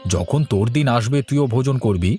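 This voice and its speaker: A man's voice: medium pitch, expressive, moderate pace, mellow, close to an everyday voice. A man playing a self-assured, bossy, well-off village husband in a cartoon. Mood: self-satisfied. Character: doting, self-satisfied, bossy prosperous village cloth trader husband. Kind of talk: Bengali cartoon dialogue. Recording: light music behind the voice.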